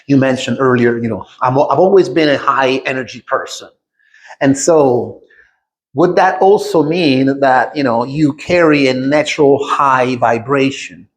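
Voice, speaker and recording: A man's voice: mid-range voice, unhurried. A man hosting a reflective conversational interview, affirming his guest and often checking in as he makes his points. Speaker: podcast host, interviewer.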